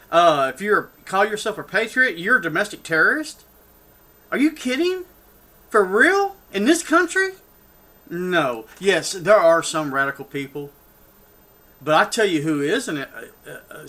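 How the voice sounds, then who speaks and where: Rambling Texan man with a bright voice. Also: high voice, very animated, a little slow. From Texas. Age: older. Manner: rambling and heated, with many restarts and asides. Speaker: political vlogger. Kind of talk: off-the-cuff rant.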